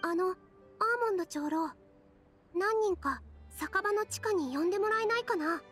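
とても高い声の優しい森の妖精. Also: female voice, very high voice, expressive, moderate pace, faint music behind. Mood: gentle, earnest. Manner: soft, gentle, earnest. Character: gentle young female forest fairy, kind and earnest. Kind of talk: anime video game voice acting.

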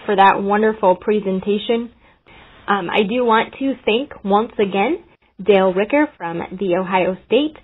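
A woman's voice: mid-range voice, slightly rough. An American woman moderating a session, giving formal closing thanks over a tinny phone line.

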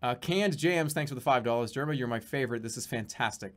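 Guy in his 30s streaming from his room, rambly and sweary, mid-pitched voice, spikes into excited yelling sometimes. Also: fast talker. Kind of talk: casual live-stream chat reading donations. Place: small room at home, indoors.

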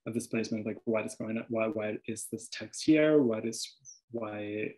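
A man's voice: fairly low pitch, moderate pace, a little mellow. A man from Venezuela with a Venezuelan accent, an artist presenting his work over slides, hesitant, with many restarts and fillers.

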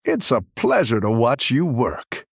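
An actor playing a friendly, encouraging tavern keeper who looks after little dragons in a video game, with a warm, folksy manner and a gravelly, husky voice. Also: male voice, medium pitch, huge pitch swings, measured pace, no room sound, clean studio sound, exaggerated voice. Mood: jovial, welcoming. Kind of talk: game character voice lines.

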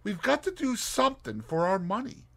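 A man raises his voice into a brisk young woman detective, eager and emphatic. The pitch is lifted and leaps around with lively energy. Her tone is bright and crisp, as read aloud in an audiobook. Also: heavily acted. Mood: determined. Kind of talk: audiobook character reading.

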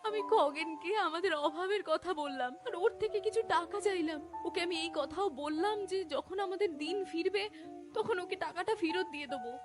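Cartoon casting: distraught poor wife, extremely high voice, tearful pleading, music clearly underneath. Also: female voice, fairly quick. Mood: ashamed, distressed. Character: helpless, ashamed, distraught poor village wife. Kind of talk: Bengali cartoon melodrama.

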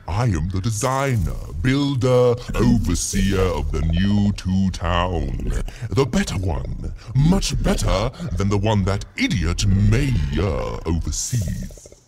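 An actor playing a pompous, touchy, scheming mayor in a video game, theatrical and fond of clumsy rhymes. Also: male voice, lowish voice, heavily acted. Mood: indignant, boastful. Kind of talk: video game character acting. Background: game music.

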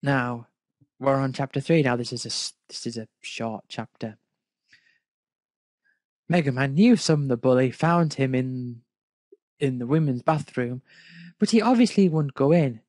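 A man's voice: mid-range voice, a little slow, long pauses, darkish tone, no echo. A man reading a story aloud and commenting on it casually in an incredulous, nitpicking way. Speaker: fan fiction reader and commentator.

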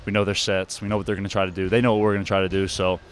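A young basketball player speaking English in a relaxed, casual post-game interview with plenty of fillers, recorded on a handheld mic in an arena. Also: male voice, deep voice, very fast, a little hiss or hum. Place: indoor arena.

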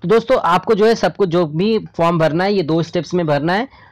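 A man's voice: high voice, brisk. A man with an Indian accent presenting as a channel host, explaining informally and repetitively, often addressing viewers as friends. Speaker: host of a government job news channel. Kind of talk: informal explainer narration over a screen recording.

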